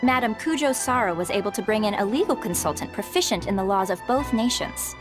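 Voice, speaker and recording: A woman's voice: mid-range voice, expressive, fairly quick, music in the background, natural voice. An actress playing a courteous, businesslike female merchant in a video game, speaking formally and with composure. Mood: polite, businesslike. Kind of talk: English video game dub acting.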